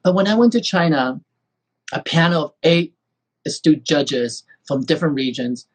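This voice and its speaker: A man's voice: fairly high pitch, animated, moderate pace, breathy edge. A man hosting a talk show, effusive and rambling, with many restarts. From Taiwan. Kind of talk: casual interview chat.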